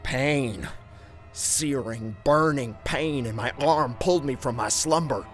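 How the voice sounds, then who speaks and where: A man narrating a scripted first-person horror story in an expressive, suspenseful storytelling manner. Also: medium pitch, slow. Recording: music bed under narration. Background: background music.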